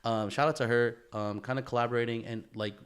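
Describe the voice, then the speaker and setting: A man's voice: mid-range voice, steady tone, quick pace, smooth. A man co-hosting a podcast in casual conversation, talking in a rambling, slangy way full of "bro" and "man". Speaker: podcast co-host.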